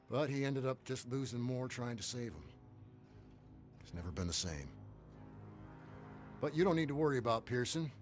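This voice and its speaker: Guy voicing a calm army officer in a war game, mid-pitch, blunt and fatherly, faint music. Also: natural voice. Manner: calm, matter-of-fact briefing tone. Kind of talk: video game cutscene acting.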